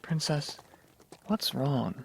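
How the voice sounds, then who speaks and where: Man as young male pony apprentice, earnest, kind, hesitant, gravelly edge, radio drama, noisy background. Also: a little high, drawn-out words, a bit bright, full character voice. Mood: concerned, gentle. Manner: hesitant, polite, self-deprecating.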